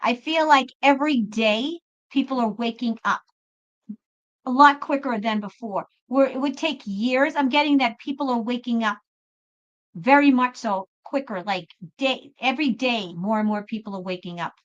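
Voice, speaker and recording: A woman with a high-pitched voice reads tarot for a live audience online. She talks casually and emphatically, circling back over her phrases. The sound is somewhat dull and lo-fi. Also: moderate pace. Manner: emphatic, chatty, repeats phrases. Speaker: host and tarot reader. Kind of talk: live spiritual tarot reading, casual chat with viewers. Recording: dull lo-fi live stream audio.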